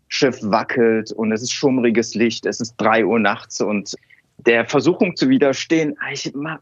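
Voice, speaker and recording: A man's voice: medium pitch, slightly rough, no echo, muffled recording. A man from Cologne, a scientist, telling stories in an interview with many fillers and restarts. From Cologne, Germany. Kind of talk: relaxed conversational interview.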